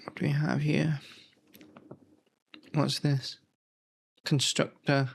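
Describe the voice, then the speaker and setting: A man's voice: a little high, little pitch movement, takes it slowly, creaky, a little hiss or hum. A man hosting his own gaming channel, talking casually and rambling as he looks back through old magazines, stopping often to correct himself. He is feeling unwell. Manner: rambling, self-correcting, thinks aloud. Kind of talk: casual nostalgic commentary.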